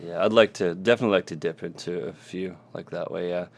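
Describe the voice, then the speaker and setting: A man's voice: sits low, fast, gravelly. A man in his twenties, a band member guesting on a podcast, speaking earnestly and with enthusiasm. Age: 20s. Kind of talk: casual interview chat.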